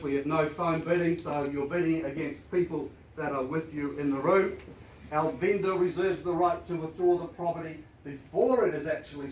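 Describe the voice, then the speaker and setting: A croaky-voiced New Zealand man runs an auction, rattling through the formal terms and then talking up the house with eager sales patter. His voice sits a little high. It comes from some distance in an echoey room with faint noise behind it. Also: a little mellow. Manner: brisk, formal, then enthusiastic selling.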